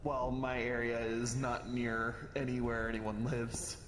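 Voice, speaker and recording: A man's voice: mid-range voice, level tone, unhurried. A man chatting casually as a player in an online roleplay game, on a VR headset mic. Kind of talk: casual roleplay banter in VRChat. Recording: roomy echo, faint background noise.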